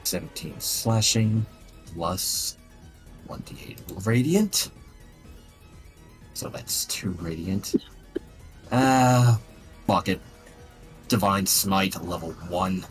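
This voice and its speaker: A man with a fairly low voice talks through his moves in a tabletop game. He is casual and blunt, and faint music plays underneath. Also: expressive, extremely slow, long pauses.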